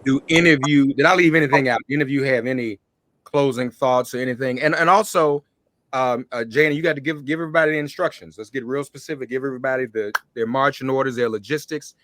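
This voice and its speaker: A man's voice: mid-range voice, fast, fairly bright tone, no room sound. An American man hosting a conversational interview. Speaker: show host.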